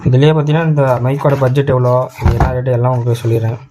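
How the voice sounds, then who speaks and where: A man's voice: mid-range voice, steady tone, very fast, background noise. A man giving a casual, colloquial product review, explaining things repetitively to viewers as a tech channel host.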